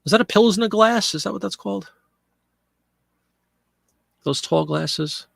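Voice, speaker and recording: Brief: middle-aged male host with a cold, gravelly and congested, rambling live-stream chat, dry close sound. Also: high voice, a little slow, no room sound. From Pennsylvania. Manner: chatty, rambling, answers viewer chat. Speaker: live-stream eBay seller.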